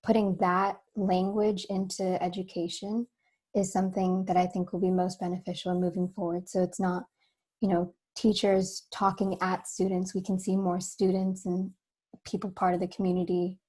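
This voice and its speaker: Young woman, slightly hoarse, mellow tone, even pitch, earnest, video call. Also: moderate pace. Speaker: student board member. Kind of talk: spoken remarks at a board meeting.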